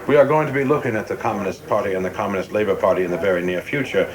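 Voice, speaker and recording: An actor playing a young, ambitious government investigator in a radio play, coldly precise, formal and deferential but sure of himself. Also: male voice, lowish voice, rapid-fire, natural voice. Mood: crisp, confident. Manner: deferential but self-assured, formal and exact.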